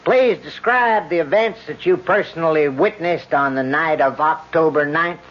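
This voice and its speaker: An actor playing a methodical, weary defense lawyer in a radio drama, questioning formally and deliberately. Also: male voice, fairly high pitch, close to an everyday voice. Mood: formal, probing. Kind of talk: 1970s radio drama acting. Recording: dull old radio broadcast recording.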